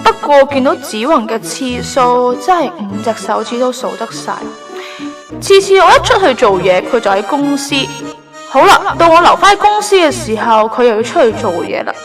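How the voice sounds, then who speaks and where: Casting: actress as earnest young angel photographer, Hong Kong accent, high voice, lively intonation, radio drama. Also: female voice, moderate pace, music in the background. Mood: musing, wistful. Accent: Hong Kong Cantonese. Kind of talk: Cantonese online radio drama acting.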